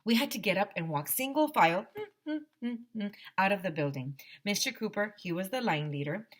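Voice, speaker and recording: A woman teacher reading a children's story aloud in an expressive, dramatic way, speaking to young listeners. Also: mid-range voice, normal speed.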